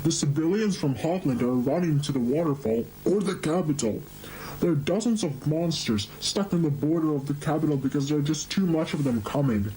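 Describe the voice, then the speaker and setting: A man plays a worn-out monster queen in a fan horror drama, anxious and scolding. The tone is dark and mellow, fairly high for a man, over faint noise. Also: lively intonation, brisk, lightly acted, rough recording. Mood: weary, distressed.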